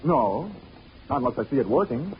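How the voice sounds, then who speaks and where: An actor playing a wry, wisecracking insurance investigator, clipped and breezy, in 1940s radio drama style. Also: male voice, medium pitch, huge pitch swings, moderate pace, creaky, a little hiss or hum. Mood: skeptical, flip. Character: wisecracking American private insurance investigator, breezy tough guy. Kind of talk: 1940s radio acting with first-person narration. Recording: muffled 1940s radio broadcast with music.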